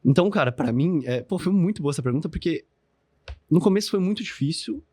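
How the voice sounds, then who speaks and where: A man in his twenties with a Brazilian Portuguese accent talks quickly on a podcast. He is slangy and swears freely, piling on fillers. The sound is dry and close. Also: a little high, lively intonation, a little mellow, husky, no echo. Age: 20s. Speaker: podcast guest, business founder. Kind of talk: casual podcast chat.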